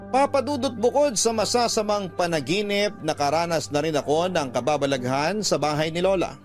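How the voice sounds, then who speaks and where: A Filipino man narrates a story in the first person with a dramatic, scripted delivery. His voice sits on the high side, and music plays softly beneath it. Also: lively intonation, a little fast. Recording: music bed behind narration.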